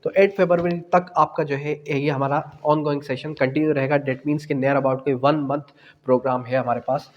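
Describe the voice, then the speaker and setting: A man's voice: fairly high pitch, fairly even intonation, normal speed, dull audio. Pakistani male tutor in a reverberant hall. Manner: explanatory, mixes in English phrases, poses questions and answers them. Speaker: law exam tutor. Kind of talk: lecture to exam students.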